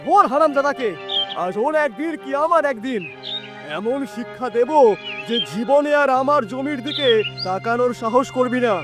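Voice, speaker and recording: A man playing a cruel, quick-tempered village landlord who scolds and orders people about in a cartoon horror story. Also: extremely high pitch, expressive. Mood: furious, accusing. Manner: scolding, domineering, commanding. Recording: music behind.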